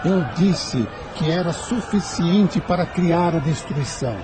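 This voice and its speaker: A man's voice: a little high, measured pace, music in the background, natural voice. A man with a Brazilian accent playing a commanding spirit in an audio drama, grave and declamatory. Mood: commanding, triumphant. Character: commanding spirit witnessing a planet's fiery purge.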